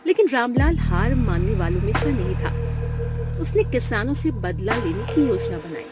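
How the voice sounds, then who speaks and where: A woman's voice: a little high, big pitch swings, moderate pace. A woman narrating a scripted moral story for a cartoon. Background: music.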